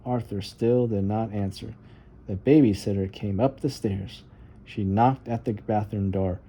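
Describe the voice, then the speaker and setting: Very mellow, soft-toned man reading a storybook. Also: lowish voice, steady tone, measured pace, breathy edge. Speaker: storybook reader. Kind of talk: children's read-aloud narration.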